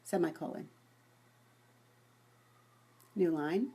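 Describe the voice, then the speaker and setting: Low-pitched husky woman giving a software demo. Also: extremely slow. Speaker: presenter of a software tutorial. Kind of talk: informal software demonstration; dictating voice commands to software.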